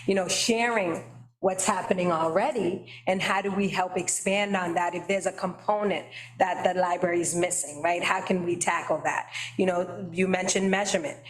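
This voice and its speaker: A woman's voice: fairly low pitch, steady tone, normal speed, echoey room, a little hiss or hum. A woman, a library trustee whose first language is Haitian Creole, speaking at a committee meeting around a table and thinking aloud, with many tag questions. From United States.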